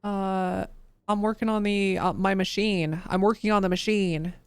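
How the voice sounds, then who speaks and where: A woman's voice: mid-range voice, fairly even intonation, moderate pace, clear, resonant tone, no echo. A tired woman live-streaming while coding, who swears freely and mutters to herself as she works, her voice worn down. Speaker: livestreamer.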